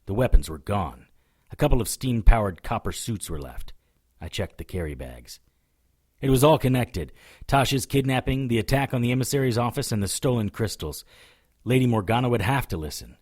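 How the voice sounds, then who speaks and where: A man playing a hard-boiled occult detective in an audio drama, narrating and talking in a dry, sardonic way with a husky voice. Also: fairly low pitch, lively intonation, brisk, darkish tone. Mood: grim, determined. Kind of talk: first-person noir narration and dialogue in audio drama.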